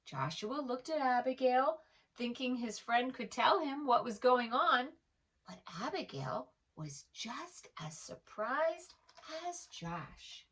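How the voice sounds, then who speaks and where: A woman storyteller reading aloud to preschoolers in an expressive way, pausing to address the young listener directly. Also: sits high, distant mic. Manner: slow, animated, addresses the young listener with questions. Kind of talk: expressive children's read-aloud.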